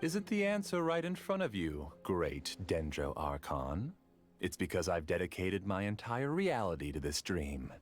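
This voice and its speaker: Casting: a deep-voiced, courteous, obsessive scholar; lively, varied delivery; video game. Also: male voice, faint music behind, exaggerated voice. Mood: calm confession. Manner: courteous, measured, quietly intense. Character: obsessive scholarly researcher, polite and calculating. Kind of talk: game cutscene dialogue.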